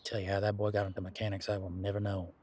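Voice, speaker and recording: A man playing an easygoing, protective werewolf bodyguard in a roleplay audio drama, chatting in casual, halting, folksy phrases. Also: low voice, completely flat delivery, darkish tone, slightly rough. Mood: musing, intrigued. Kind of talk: roleplay audio drama, conversational monologue to listener.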